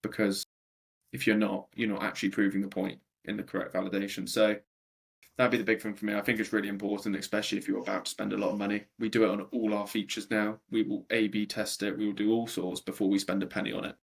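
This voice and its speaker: A young man in his twenties, a startup co-founder answering interview questions in a conversational way with a deep voice and frequent ums. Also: level tone, fast talker, vocal fry, breathy edge. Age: 20s.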